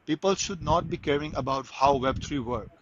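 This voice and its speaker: A man's voice: a little high, normal speed, fairly bright tone, dull audio, a little hiss or hum. A man from Pakistan, a guest in a casual interview, talking eagerly with many restarts and stumbles. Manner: frequent restarts and stumbles, enthusiastic. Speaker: guest, crypto marketer.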